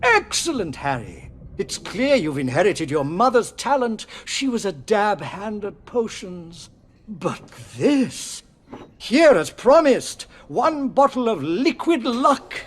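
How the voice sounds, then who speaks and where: An actor playing a jovial older professor with a pompous, theatrical delivery in a video game. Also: male voice, high voice, very animated, takes it slowly, exaggerated voice. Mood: pleased. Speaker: teacher.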